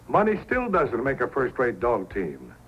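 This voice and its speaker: A man's voice: medium pitch, wildly swooping pitch, a little slow, husky, full character voice. An actor playing a spirited, principled Yukon dog man in an old-time radio drama, plain-spoken and challenging. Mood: needling. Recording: muffled old radio recording, faint noise.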